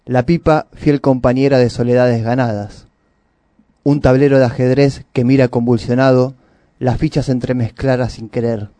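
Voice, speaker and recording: Mellow Argentine man reading on radio. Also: medium pitch, steady tone, moderate pace, no room sound. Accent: Rioplatense Argentine. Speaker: radio presenter. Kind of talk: literary reading and monologue for a radio show.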